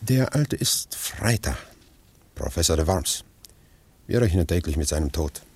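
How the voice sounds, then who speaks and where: An actor playing a grave, discreet anarchist secretary in a radio play, speaking in a hushed, dry, measured manner. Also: male voice, deep voice, very animated, slightly rough, full character voice. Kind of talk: German radio play acting.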